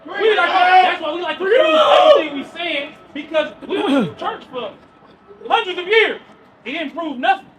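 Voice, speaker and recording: A man preaching loudly outdoors on a city street. He quizzes the crowd with questions and then answers them himself. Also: very high voice, big pitch swings, moderate pace. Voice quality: loud and projecting, near yelling. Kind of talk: loud open-air street preaching. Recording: far from the mic with street noise.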